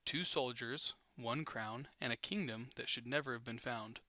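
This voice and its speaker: A man's voice: medium pitch, normal speed, pushed and bright, no echo. A man giving scripted promotional narration in a polished, dramatic manner. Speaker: announcer introducing the upload.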